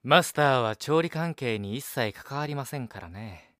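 An actor playing a dutiful, courteous young cafe assistant in an audio drama, with a gently teasing manner. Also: male voice, mid-range voice, lively intonation, normal speed, no room sound, clean studio sound, lightly acted. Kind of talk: Japanese audio drama acting.

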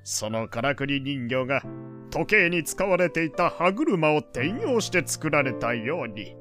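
An actor playing an opinionated, kindly old gentleman in an audio drama, lecturing in old-fashioned elderly phrasing. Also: fairly high pitch, very animated, unhurried, faint music behind, heavily acted. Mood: pleased, explaining. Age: older. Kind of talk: Japanese audio drama acting.

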